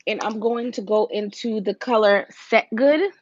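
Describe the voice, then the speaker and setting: A woman with a raspy voice, casually talking viewers through a makeup look on a livestream, step by step. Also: a little high, normal speed, dry recording, highs cut off. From Virginia, US. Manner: chatty, talks viewers through each step. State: raspy, deeper voice than usual.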